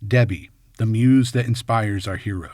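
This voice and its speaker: A man narrating a scripted film analysis in measured, short punchy phrases. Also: fairly low pitch, darkish tone, no room sound, clean studio sound. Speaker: video essay host and narrator.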